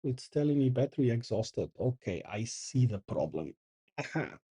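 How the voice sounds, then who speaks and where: A middle-aged man hosts a casual coding session, reading out commands and thinking aloud as he works. His voice is very dark and a little husky, with a lively, rising and falling pitch. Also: moderate pace. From Porto, Portugal. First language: Portuguese. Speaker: channel host. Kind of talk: casual live coding commentary.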